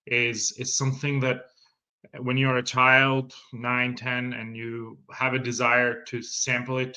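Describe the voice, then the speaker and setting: A middle-aged man with an Italian accent talks through an interview in a bright, forceful voice. He hesitates and restarts his sentences often, with frequent laughs. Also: medium pitch, poor audio. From Italy. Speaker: winery sales manager guest. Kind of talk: casual interview conversation.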